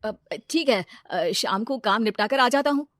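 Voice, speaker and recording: A woman plays a humble village food vendor from a moral tale. He is agreeable and eager, in a fairly high voice with a dry sound. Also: expressive, moderate pace, fairly bright tone, no room sound. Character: obliging, humble young village noodle seller man. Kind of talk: animated moral-story character dialogue.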